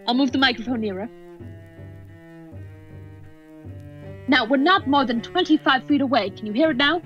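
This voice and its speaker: A woman playing an excited, increasingly horrified radio news reporter giving dramatic, halting eyewitness commentary in a radio play. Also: sits high. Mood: urgent, excited. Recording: dull lo-fi audio under music.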